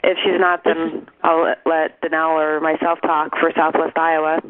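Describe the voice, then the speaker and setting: A man's voice: fairly high pitch, monotone, moderate pace, dry recording. A man giving an informal update as a program representative on a conference call, speaking in a hesitant, rambling way over the telephone. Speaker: regional mental health program representative. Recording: tinny phone line with faint noise.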